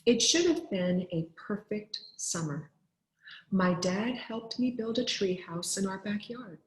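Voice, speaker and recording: Unhurried woman teacher in an echoey room. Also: medium pitch, animated. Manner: deliberate, clearly phrased teacher delivery. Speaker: teacher reading aloud to pupils. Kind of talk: expressive storybook read-aloud for children.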